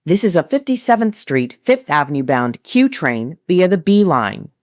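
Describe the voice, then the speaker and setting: Low-pitched woman making train announcements. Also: big pitch swings, a little mellow, no echo, clean studio sound. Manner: formulaic, measured delivery of short set phrases. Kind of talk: scripted automated transit announcements.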